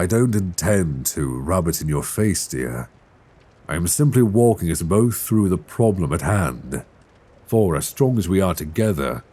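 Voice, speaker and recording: A man playing an ancient dragon king in an intimate ASMR roleplay, tender and wry, with courtly, teasing phrasing and a husky voice. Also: sits low, a little mellow, full character voice. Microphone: close binaural microphone.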